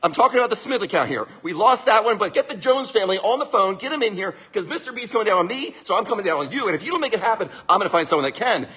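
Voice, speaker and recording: Male storyteller as put-upon sales manager in a comic tale, man, husky, blustering. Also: high voice, animated, very fast, few pauses, sharp tone, full character voice. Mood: indignant. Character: nervous, then indignant male sales manager, resentful underling.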